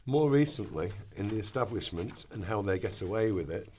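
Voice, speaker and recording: Low-voiced male narrator. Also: lively intonation, fairly quick, a little mellow, slightly rough. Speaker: narrator in a radio play. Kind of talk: scripted narration, reading aloud.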